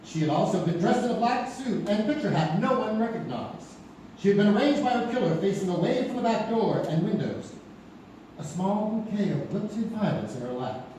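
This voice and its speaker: An actor plays a knowing male storyteller delivering dramatic scripted mystery narration. His high, lively voice has a mellow tone and pointed pauses, and rings from afar in a very echoey hall. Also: recorded from a distance, a little hiss or hum. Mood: intrigued, grave. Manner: theatrical, rhetorical questions, pointed pauses. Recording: echoey live theatre recording from afar.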